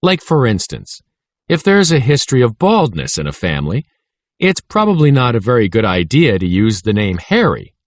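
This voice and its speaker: A man's voice: medium pitch, huge pitch swings, moderate pace, no echo, studio quality. A man voicing a wry schoolboy who tells his own story in a casual way.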